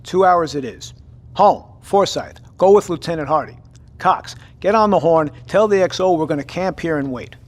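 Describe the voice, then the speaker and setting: An actor playing a gruff, decisive naval officer in an audio drama, brusque and plain-spoken. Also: male voice, on the high side, moderate pace, close to an everyday voice. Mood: commanding.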